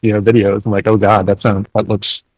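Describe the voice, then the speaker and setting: A man with a fairly low voice talks as a podcast co-host. The sound is dry, with no room around it, and his delivery is informal and conversational. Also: flat delivery, brisk, no room sound, muffled recording. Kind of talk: casual chat.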